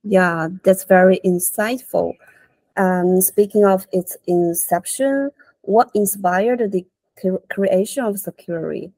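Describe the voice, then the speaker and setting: A woman's voice: mid-range voice, takes it slowly, dark tone, no echo, rough recording. A young woman hosting a video call interview and asking prepared questions with some "uh" fillers. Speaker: interview host. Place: indoor office room.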